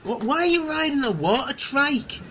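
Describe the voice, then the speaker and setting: A man's voice: very high voice, lively intonation, moderate pace, sharp tone, slight background noise, pitched above the performer's own voice, exaggerated voice. A man voicing a grumpy, foul-mouthed male castaway, crude and scornful.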